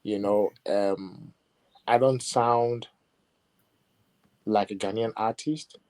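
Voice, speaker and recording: A man speaks as a musician guest in an interview. His voice sits low and sounds warm and mellow. He reflects on each point at his own pace and often adds "you know" as he goes. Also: fairly even intonation. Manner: reflective, measured, with many "you know" asides. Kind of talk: interview conversation.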